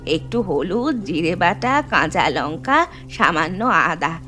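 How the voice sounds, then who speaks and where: A woman's voice: lowish voice, big pitch swings, faint music behind, exaggerated voice. A woman voicing an elderly Bengali mother-in-law in a cartoon, firm and traditional, fondly chiding and instructing. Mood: disapproving. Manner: unhurried, instructive, gently chiding. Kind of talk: animated moral story voice acting.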